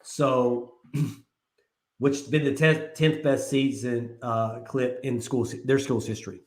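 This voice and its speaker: Guy co-hosting a sports chat show, rambling and animated, mid-pitched voice, roomy echo. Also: slow. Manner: animated, loose and rambling with filler words. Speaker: co-host. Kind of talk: casual sports talk show. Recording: echoey room.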